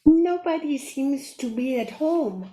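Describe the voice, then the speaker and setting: Timid Greek female assistant woman acting, very high soft voice, slow, hesitant, roomy echo, radio play. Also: lively intonation, noisy background. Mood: nervous. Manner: tentative, hesitant.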